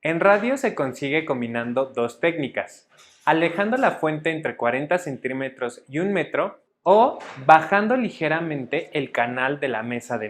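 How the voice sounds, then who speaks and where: Male narrator for educational audio. Fairly high pitch, formal and didactic, reads a structured script. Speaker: instructor narrator.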